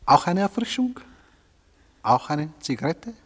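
Echoing-hall court official: low, rough and husky, dryly probing, radio drama. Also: male voice, sing-song, unhurried, heavily acted. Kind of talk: 1970s radio drama acting.

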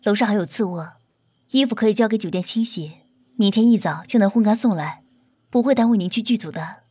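A woman's voice: mid-range voice, fairly quick, warm tone, slightly rough, no room sound, natural voice. A woman playing a courteous, protective celebrity manager in an audio drama, with a formal, polite and composed manner. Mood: businesslike.

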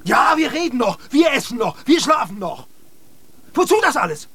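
A man's voice: extremely high pitch, big pitch swings, normal speed, bright, forceful tone, husky, heavily acted. A man playing a bitter, hot-tempered polar explorer who rants and curses in a German radio drama. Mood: despairing, agitated. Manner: ranting, cursing, sarcastic.

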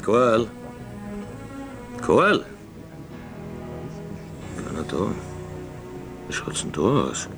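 A man playing a weary, patronizing late-night radio host in a radio drama, with an Austrian accent and a halting, distracted way of talking. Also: lowish voice, big pitch swings, vocal fry, music in the background, exaggerated voice. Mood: puzzled, searching. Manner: murmured interjections, halting, distracted.

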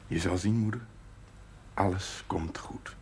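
A proud family man with a deep, reassuring voice. It is television drama, with faint noise in the old soundtrack. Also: a little slow, a little mellow. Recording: noisy 1970s television soundtrack.

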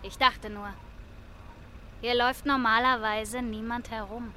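A nosy small-town local in a radio crime play. She sounds polite and chatty in a bright, slightly high voice. A faint car and street ambience runs behind her. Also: steady tone, measured pace, slight background noise. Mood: curious, wary. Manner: inquisitive, chatty. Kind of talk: radio crime drama acting.

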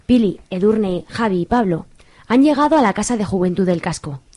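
A woman's voice: medium pitch, lively intonation, fairly quick, warm tone, lightly acted. A woman reading scene-setting narration for a scripted radio soap.

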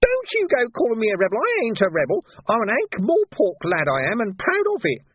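A man voicing a scruffy, greedy street urchin boy with a high, whining cockney manner. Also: very animated, brisk, husky, dry recording, full character voice. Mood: indignant. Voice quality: high squeaky whine. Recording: muffled phone-like recording.

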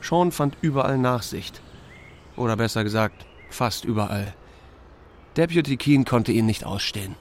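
A man's voice: medium pitch, animated, normal speed, slight background noise, natural voice. A man playing a laconic small-town sheriff who tells his own story in an audio drama, with a dry, understated manner. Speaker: narrator and lead actor. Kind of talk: first-person audio drama narration with dialogue.

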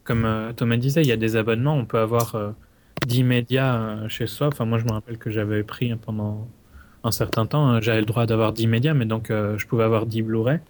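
Relaxed man. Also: fairly low pitch, fairly quick, a little hiss or hum. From Liège region, Belgium. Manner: relaxed, adds tag words. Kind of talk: casual panel chat.

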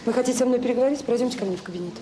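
An actress playing a dutiful orphanage director in a radio drama, polite but pointed, firm and dryly ironic. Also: female voice, fairly high pitch, very fast, heavy background noise, lightly acted. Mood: businesslike, patient. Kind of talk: naturalistic Soviet radio drama acting.

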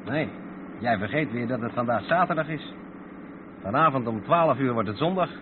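Actor as seasoned truck driver, thin noisy recording. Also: male voice, medium pitch, lively intonation, moderate pace, natural voice. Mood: uneasy. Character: uneasy, practical Dutch truck driver, the experienced senior partner. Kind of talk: radio play acting. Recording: noisy phone-like band-limited old radio play recording.

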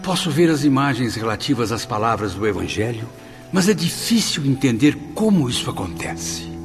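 A man's voice: medium pitch, big pitch swings, moderate pace. An actor playing a humble, awestruck spirit who narrates his memoirs in a radio drama, earnest and reflective. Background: music.

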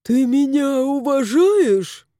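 A woman's voice: high-pitched, lively intonation, takes it slowly, mellow, no room sound, studio quality, pitched above the performer's own voice. A woman voicing a fat, theatrical tomcat who yowls and demands help. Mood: yowling. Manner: yowling, theatrical.

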